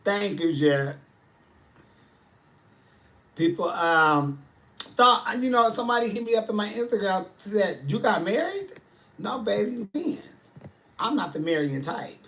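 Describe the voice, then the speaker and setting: A man's voice: high-pitched, big pitch swings, a little slow, pauses often, a bit bright. Sassy man in his forties, chatting live. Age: 40s. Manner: sassy, chatty, reads and answers comments. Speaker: livestream host. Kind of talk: casual livestream chat.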